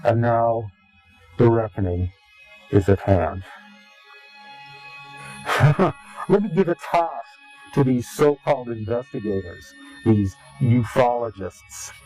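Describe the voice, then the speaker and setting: A man giving interview testimony in a grave, deliberate, halting and portentous way. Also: medium pitch, animated, a little mellow, poor audio. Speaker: interviewee, claimed insider. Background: music.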